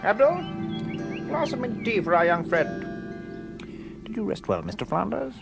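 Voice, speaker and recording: A jovial gentleman adventurer in a radio play. His pitch leaps about wildly as he flips from commanding to offhand, with music playing behind him. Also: sing-song, unhurried, creaky, heavily acted. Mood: breezy, unruffled. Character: breezy, eccentric upper-class English gentleman adventurer. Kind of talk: radio drama acting.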